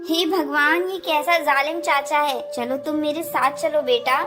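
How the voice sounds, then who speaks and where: A small female bird in a children's cartoon, played by a woman, caring and earnest. The voice is notably high and full of concern, with music clearly playing behind it. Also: steady tone, unhurried. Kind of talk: Hindi children's cartoon story voice acting.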